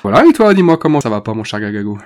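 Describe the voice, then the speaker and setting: A man's voice: mid-range voice, very animated, racing, a little mellow. Stuffy-nosed man with a cold. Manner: hesitant, many fillers. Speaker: podcast co-host. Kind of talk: casual podcast chat.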